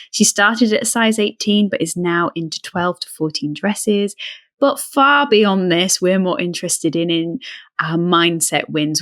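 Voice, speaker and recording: A woman's voice: lowish voice, fairly bright tone. A woman hosting a podcast interview, upbeat and encouraging as she introduces and questions her guest. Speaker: podcast host, coach.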